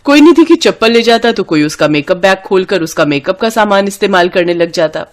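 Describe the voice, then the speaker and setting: A woman's voice: medium pitch, lively intonation, brisk. A woman narrating a cartoon story in a dramatic storytelling manner.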